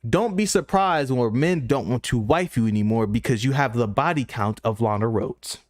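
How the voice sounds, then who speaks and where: A man's voice: mid-range voice, moderate pace, no echo, clean studio sound. A man giving blunt, slangy, lecturing commentary over interview clips. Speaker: reaction commentator.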